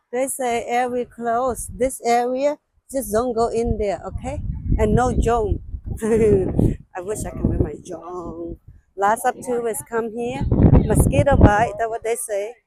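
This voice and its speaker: A woman with a fairly high voice talks at a very unhurried pace. She is a non-native English speaker using short, simple, halting phrases. She narrates a casual sightseeing vlog outdoors, exclaiming as she addresses viewers. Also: mellow, no room sound, rough recording. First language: not English. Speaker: travel vlogger. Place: outdoors at a windy mountain lookout.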